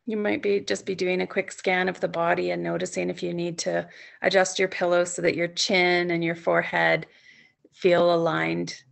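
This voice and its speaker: A woman leading a guided sleep meditation as a yoga nidra instructor, speaking soothingly in gently coaxing, unhurried phrases with long pauses. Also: lowish voice, little pitch movement, creaky.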